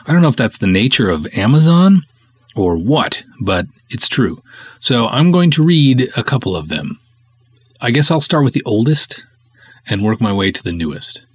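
A man's voice: mid-range voice, very animated, moderate pace, breathy edge, dry recording. A man hosting a podcast in a casual, conversational style, talking and reading aloud with wry, snarky sarcasm. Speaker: podcast host and filmmaker.

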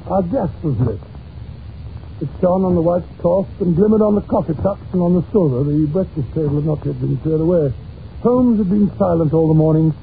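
Genial English companion-narrator for radio drama, British, creaky high register, gently remonstrating, muffled noisy old recording. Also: male voice. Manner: fond, reminiscing, gently remonstrating.

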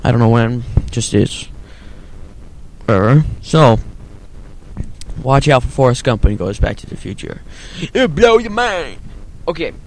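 A man voicing a slow-witted, childlike man, rambling haltingly in a comedy podcast monologue. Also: mid-range voice, big pitch swings, slight background noise, poor audio. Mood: matter-of-fact. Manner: rambling, halting, simple-minded.

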